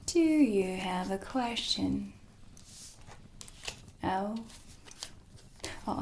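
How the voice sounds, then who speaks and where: Woman acting a slow, whispering, creaky dark witch. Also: lowish voice, exaggerated voice. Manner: slow, deliberate, theatrical. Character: mysterious dark witch, female, tarot reader, haughty and knowing. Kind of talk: cinematic ASMR roleplay, whispered. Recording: music under the whispered voice. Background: dark ambient music.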